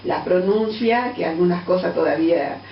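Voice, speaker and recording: A middle-aged woman from Angola with a Portuguese-tinged accent, a guest answering interview questions thoughtfully, with many pauses and fillers. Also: on the low side, creaky, slight background noise. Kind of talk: radio interview. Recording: distant, roomy, dull lo-fi radio sound.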